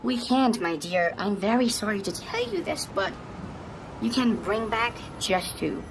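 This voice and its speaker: A woman playing the gentle, motherly good side of a young man's mind, who speaks to him with affection and soothing words. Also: medium pitch, sing-song, measured pace, crowd noise, rough recording. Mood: tender. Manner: soothing, affectionate, calls others darling.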